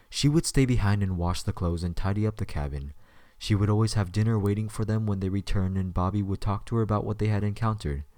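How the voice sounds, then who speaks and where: A man's voice: lowish voice, darkish tone, no room sound. A man narrating a scripted story as the narrator of an audio drama.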